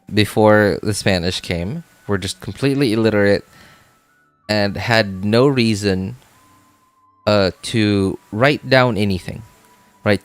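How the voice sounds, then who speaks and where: Male game streamer, lowish voice, informal and rambling, thinks out loud with repeated phrases, faint music underneath. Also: dry recording. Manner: rambling, repeats phrases, often checks with "right?". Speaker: game livestreamer chatting with viewers. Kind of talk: casual livestream chat, thinking aloud.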